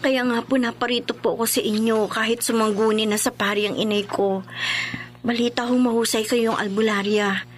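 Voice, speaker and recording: Actress as an anxious high-voiced young wife. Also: female voice, level tone, normal speed, a little hiss or hum, lightly acted. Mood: anxious, respectful. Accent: Filipino. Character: anxious young married woman, respectful but insistent. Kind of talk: Filipino horror radio drama acting.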